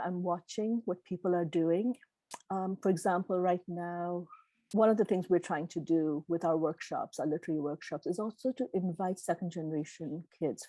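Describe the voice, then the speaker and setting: A woman's voice: on the low side, mellow, no echo, rough recording. A middle-aged woman whose first language is Gujarati, answering questions informally as a keynote speaker in an encouraging, earnest way. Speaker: keynote speaker, literary publisher.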